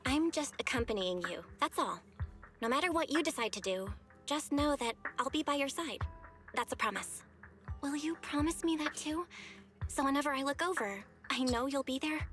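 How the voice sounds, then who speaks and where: A woman voicing a steady, supportive young female companion in an anime game, calm and reassuring. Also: sits high, normal speed, faint music behind.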